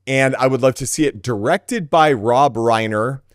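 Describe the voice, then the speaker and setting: A man speaks as a podcast co-host in a smooth, resonant voice of medium pitch. His delivery is casual and enthusiastic, full of informal filler like a chat among friends. The sound is dry, with no room echo. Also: a little slow.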